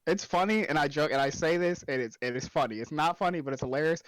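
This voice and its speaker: A young man from Oklahoma with an Oklahoma accent, chatting casually as a stream guest, joking and musing in a relaxed way. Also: a little high, no echo, rough recording. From Oklahoma, USA. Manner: laid-back, joking, drawn-out musing. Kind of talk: casual chat.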